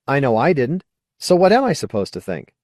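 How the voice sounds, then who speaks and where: A man's voice: mid-range voice, very animated, fairly quick, a little mellow, no echo, clean studio sound, voice pushed up, natural voice. A man voicing a wary, awkward, questioning male character in an audiobook. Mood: uncomfortable. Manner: hesitant, questioning. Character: wary, awkward man, skeptical former idealist.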